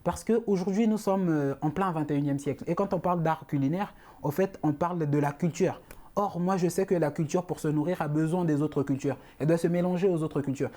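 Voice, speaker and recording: A young man from Congo speaking as a panel guest in a mellow, warm voice. He explains his ideas patiently, restating them and pausing on fillers. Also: a little high. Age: young adult. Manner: explanatory, repeats points, frequent fillers. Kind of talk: panel discussion.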